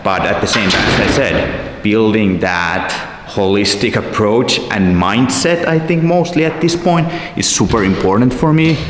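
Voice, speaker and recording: A man with a Finnish accent, a company founder talking earnestly to camera in his vlog and thinking aloud with pauses. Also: deep voice, noisy background. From Finland. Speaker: agency founder and vlogger. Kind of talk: solo vlog talk to camera.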